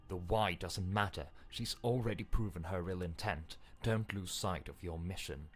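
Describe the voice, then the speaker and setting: A man's voice: low voice, normal speed, soft background music, natural voice. A man voicing a stern, commanding narrator who insistently urges on a hero in a video game. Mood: urgent, stern. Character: stern urgent commanding inner narrator pressing a hero to kill.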